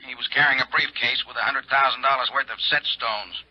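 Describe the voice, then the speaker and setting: A dull vintage radio recording of an actor playing a male insurance company man. His voice is husky and middle-pitched, and he talks in a brisk, matter-of-fact, businesslike way. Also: steady tone, close to an everyday voice. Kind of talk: 1950s radio drama acting.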